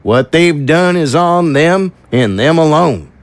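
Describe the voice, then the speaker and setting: A steady small-town sheriff, acted by a man in an audio drama. His voice sits a little high for a man and moves freely up and down. He speaks in folksy, reassuring phrases, like someone used to taking charge. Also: slow, dry recording. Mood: gently reassuring.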